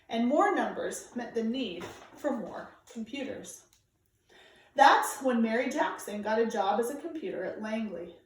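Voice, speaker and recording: A woman reading aloud to children like a teacher, with clear, deliberate, emphatic phrasing. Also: fairly high pitch. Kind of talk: read-aloud storytelling for children, informal introduction. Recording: very echoey room, distant microphone.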